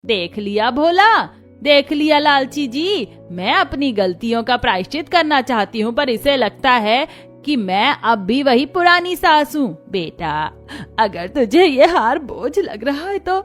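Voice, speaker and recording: Woman playing a scheming, high-voiced mother-in-law. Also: very animated, a little slow. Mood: tearfully reproachful. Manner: bossy, theatrical. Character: scheming, commanding middle-aged mother-in-law feigning sweetness. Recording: music behind the voice.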